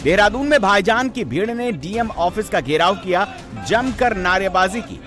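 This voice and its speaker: Guy doing dramatic tv news voiceover, really animated and high, punchy delivery, music under it. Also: normal speed, some chatter behind. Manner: emphatic, sensational delivery. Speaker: TV news presenter. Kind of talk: dramatic scripted news narration. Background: background music.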